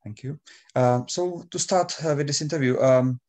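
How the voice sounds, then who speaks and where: A man conducting an informal interview politely, with hesitant, halting phrasing. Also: medium pitch, darkish tone.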